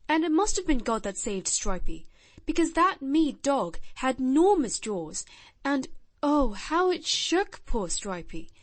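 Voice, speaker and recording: A woman's voice: high voice, dry recording. A woman voicing a shy, blunt, earnest little boy of nearly ten in a storybook reading.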